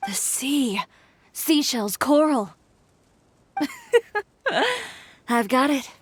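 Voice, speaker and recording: A woman's voice: sits high, drawn-out words, slightly rough, exaggerated voice. A woman playing an assertive, proud young fashion designer in an anime game, composed, dryly blunt and coolly determined. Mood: inspired.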